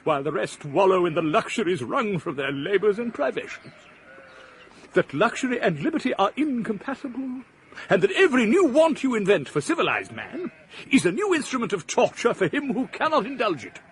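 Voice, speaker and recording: A man's voice: high-pitched, very animated, fairly quick. An actor playing an impassioned male philosopher in a radio drama, declaiming with scorn against progress. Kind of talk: 1980s radio drama acting.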